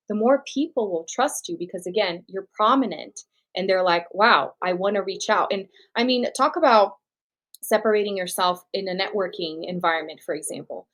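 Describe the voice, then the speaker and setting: A woman from Brazil whose first language is Portuguese, a guest speaker explaining confidently and laughing easily in an interview. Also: mid-range voice. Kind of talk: interview answers.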